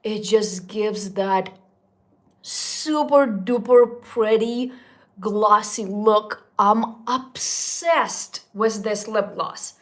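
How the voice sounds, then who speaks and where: A woman's voice: mid-range voice, very slow. A woman almost forty, chatting casually to her viewers as a beauty vlogger, gushing about products with little slips and restarts. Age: 30s. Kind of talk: casual makeup talk to viewers.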